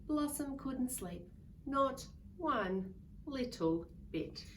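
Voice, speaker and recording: A woman's voice: sits high, lively intonation, very slow, a bit bright. A woman reading a picture book aloud to young children in a sing-song way, coaxing them to join in. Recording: distant mic with faint background noise.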